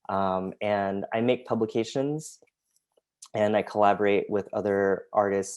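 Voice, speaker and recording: Man, artist presenting over a video call, low and unhurried, hesitant with lots of fillers, first language Vietnamese. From United States. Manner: hesitant, many ums, soft-spoken. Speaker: artist and graphic designer. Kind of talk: presenting artwork in an online talk.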